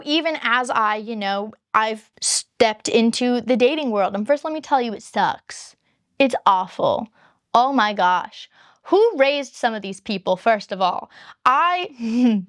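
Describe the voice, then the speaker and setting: A woman YouTuber with a high-pitched voice, chatting candidly to her viewers and rambling through long explanations. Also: dry recording, clean studio sound. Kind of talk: casual chat to camera.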